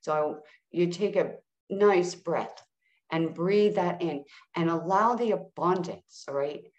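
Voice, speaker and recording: Low voice lady, calm coach talk, says "all right?" a lot, echoey room. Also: slow pace. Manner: soothing, often checks in with "all right?". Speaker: guest manifestation coach. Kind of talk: guided healing talk.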